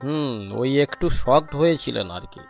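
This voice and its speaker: A man voicing a calm, matter-of-fact doctor in a cartoon. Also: medium pitch, normal speed, vocal fry, faint music behind, close to an everyday voice. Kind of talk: cartoon voice acting.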